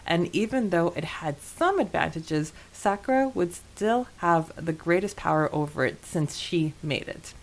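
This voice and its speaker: A woman's voice: sits low, low-quality recording. A woman co-hosting a podcast in a casual, chatty and enthusiastic way about a book. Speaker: podcast co-host.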